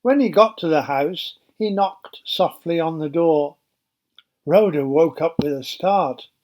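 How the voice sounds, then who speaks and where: A man narrating a Bible story for children as a storyteller, in a simple, measured, explanatory way. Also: fairly high pitch, a little mellow.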